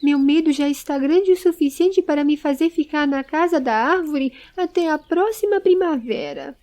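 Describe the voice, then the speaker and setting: Uma mulher interpretando um pequeno ser jovem da floresta, medroso, ansioso e exclamativo, numa história em áudio. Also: female voice, high-pitched, moderate pace, warm tone, voice pushed up, natural voice. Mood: assustado. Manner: exclamatory, anxious, eager. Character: small excitable, fearful young male forest creature.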